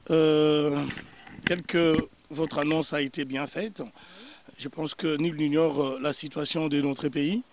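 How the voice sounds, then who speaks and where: An earnest man in his thirties, from Congo, speaks as a guest analyst in a sunny garden, answering into a handheld microphone held close. His voice sits a little higher than most men's, and he stumbles through repeated words while he gathers his thoughts. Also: fairly even intonation, slow, a little mellow, slight background noise. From Democratic Republic of the Congo. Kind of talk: interview answers. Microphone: handheld mic held by interviewer.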